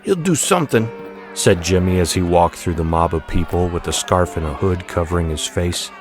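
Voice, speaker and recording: A man's voice: low voice, little pitch movement, moderate pace. A man narrating a dramatized audiobook with music behind him. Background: background music.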